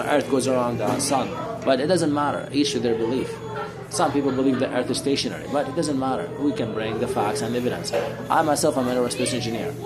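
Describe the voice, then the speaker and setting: A middle-aged man from Syria talking casually and unscripted, explaining things in a preachy, repetitive, rambling way. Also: medium pitch. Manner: rambling, repeats phrases for emphasis; preachy, addresses listener as brother. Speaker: street guitarist and talker. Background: guitar music.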